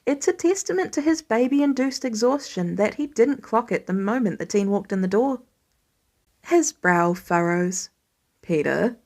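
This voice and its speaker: A woman narrating fiction in an expressive scripted reading style, as an audiobook reader. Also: fairly high pitch, moderate pace.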